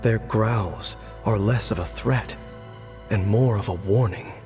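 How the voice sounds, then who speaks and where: An ancient, cursed warrior in a video game. His voice is fairly low, and he speaks in a solemn, weary, measured manner with formal phrasing. Music plays clearly behind him. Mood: solemn, composed. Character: cursed, stoic male warrior, centuries old, solemn and weary.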